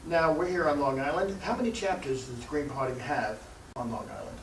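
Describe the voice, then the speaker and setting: A man hosting an interview show, asking his questions in a deliberate, formal way. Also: medium pitch, gravelly. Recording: roomy, distant mic, faint noise.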